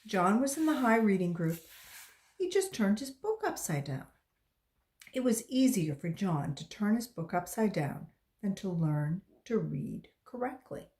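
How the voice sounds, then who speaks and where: A woman reading a storybook aloud to children as a volunteer, pausing to explain things to young listeners. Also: medium pitch, very animated, darkish tone.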